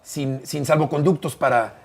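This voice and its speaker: An older man with a Mexican accent, telling stories as an interview guest in a measured way, often pausing on "eh" and repeating phrases. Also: on the high side, steady tone, vocal fry. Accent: Mexican Spanish. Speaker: interview guest, broadcaster and bullfight writer. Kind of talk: relaxed conversational storytelling.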